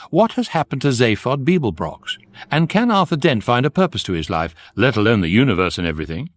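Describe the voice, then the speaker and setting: An actor playing a wry, knowing guidebook that narrates a radio comedy in a measured, ironic way. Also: male voice, mid-range voice, natural voice.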